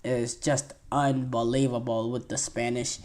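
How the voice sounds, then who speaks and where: A man's voice: medium pitch, little pitch movement, measured pace, a little mellow. A twenty-year-old man hosting a solo sports podcast, talking in a rambling, enthusiastic way with lots of fillers and restarts. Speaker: podcast host.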